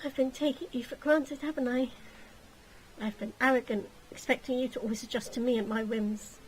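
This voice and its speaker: A woman's voice: fairly even intonation, brisk, a little hiss or hum, lightly acted, poor audio. Sobbing young woman, as a woman reader puts her on. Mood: remorseful. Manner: tearful, wailing, high-pitched.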